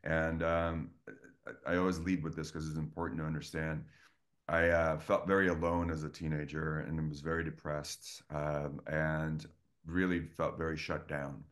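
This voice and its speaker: A man's voice: very deep voice, level tone, normal speed, fairly bright tone. A man from Estonia, a guest coach, talking earnestly and effusively in a casual interview over a video call. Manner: earnest, effusive, many restarts.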